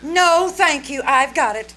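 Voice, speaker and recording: A woman's voice: high voice, animated, unhurried, pushed and bright, slight background noise, heavily acted. A woman playing a prim, tart young wife in an old-time radio comedy, arch, polite and theatrical. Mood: tart, icy.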